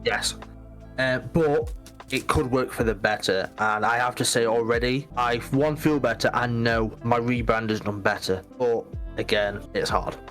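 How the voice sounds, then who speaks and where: A man talks on a casual podcast, animated and emphatic. His pitch sits in an ordinary middle range. Faint music plays underneath. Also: unhurried. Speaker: podcast guest, Twitch mod.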